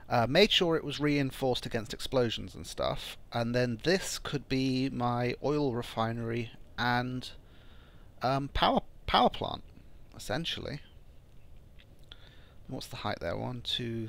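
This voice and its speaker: A man with a croaky, phlegmy voice from a lingering cold narrates his gameplay in a relaxed let's-play style. He thinks aloud as he goes and halts often on ums and restarts. His pitch sits in an ordinary middle range. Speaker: gameplay channel host. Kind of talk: casual let's-play commentary.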